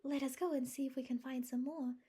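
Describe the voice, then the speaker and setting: A dreamy, emotional young woman, as a woman reader puts her on, talks in a high, breathy voice that often sinks to a whisper. Her husky tone sits well above the reader's own low voice. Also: fairly even intonation, moderate pace, warm tone. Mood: eager. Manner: breathy, rapturous, at times whispering. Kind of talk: audiobook character voice.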